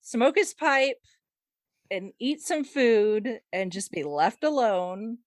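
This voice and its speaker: Animated woman podcaster with a lively pitch. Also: slow pace, dry recording, studio quality. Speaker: podcast co-host. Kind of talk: casual panel chat.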